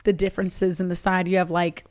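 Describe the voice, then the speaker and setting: A woman's voice: lowish voice, little pitch movement, fairly quick, darkish tone. A woman co-hosting a casual movie review podcast, chatty and hesitant with frequent ums. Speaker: podcast co-host.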